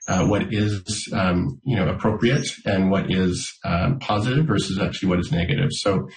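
A man working for a school district, giving measured interview answers with many "uh"s and "um"s. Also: low-pitched, reverberant, rough recording. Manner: measured, filler-heavy explanations.

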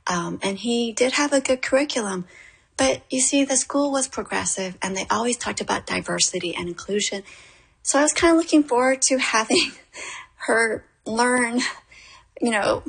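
A woman's voice: mid-range voice, gravelly, slightly rough, poor audio. A middle-aged woman playwright, born in Korea and raised in Toronto, answering an interview question in an earnest, thoughtful way. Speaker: playwright guest.

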